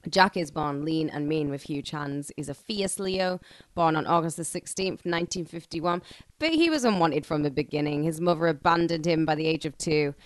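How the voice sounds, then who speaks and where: A woman's voice: sits low, moderate pace, no echo. A woman hosting a podcast, reading scripted true-crime narration and breaking into slangy, irreverent, joking banter. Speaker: podcast host.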